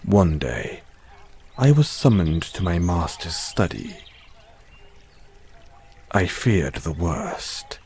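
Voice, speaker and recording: A man's voice: low-pitched, a little mellow, a little hiss or hum, heavily acted. A man playing a devoted, formal butler with a painful past, speaking solemnly and deferentially in a scripted audio roleplay.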